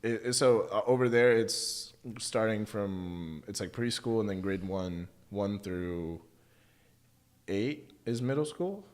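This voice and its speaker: A man's voice: lowish voice, slow pace, darkish tone. A young man chats as a podcast guest. An Urdu speaker, he talks in a casual, slangy, joking way. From Pakistan. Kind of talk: casual conversational interview.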